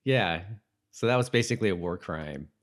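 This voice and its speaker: Slightly low-voiced man, casual podcast co-host. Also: expressive. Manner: halting, conversational, repeats himself. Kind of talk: casual chat about a TV show.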